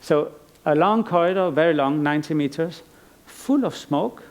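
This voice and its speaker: Man, middle-aged, from Iceland, lecturing on stage; raspy, fairly high voice, musing and halting. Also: a little mellow. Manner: thoughtful, rambling with frequent restarts and fillers. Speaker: artist giving a lecture. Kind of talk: conference lecture.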